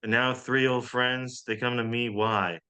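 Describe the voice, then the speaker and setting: A man's voice: mid-range voice, fairly even intonation, bright, forceful tone, clear, resonant tone. A man playing a vain, self-pitying drag diva with theatrical delivery in a comedy table read. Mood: wounded, dramatic. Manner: theatrical, drawn-out.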